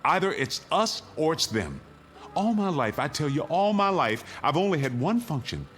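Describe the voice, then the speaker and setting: A man's voice: fairly high pitch, big pitch swings, moderate pace, fairly bright tone. A male actor in a radio drama playing a stubborn, anguished doctor and father, speaking with emphatic resolve. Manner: emphatic, declamatory.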